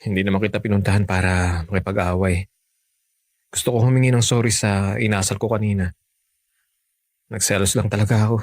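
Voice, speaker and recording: A woman's voice: rumbling low, steady tone, a little fast, pauses often, darkish tone, no echo. A woman playing a jealous, possessive young Filipino lover in a radio drama, insistent and interrogating. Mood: apologetic, subdued.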